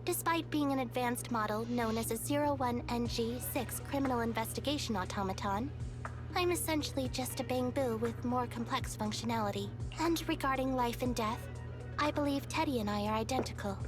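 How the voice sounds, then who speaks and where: Woman voicing a high-pitched, calm android detective. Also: music in the background, close to an everyday voice. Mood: detached, philosophical. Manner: measured, formal, matter-of-fact. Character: calm female police investigation android, wise and detached. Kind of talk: video game voice acting.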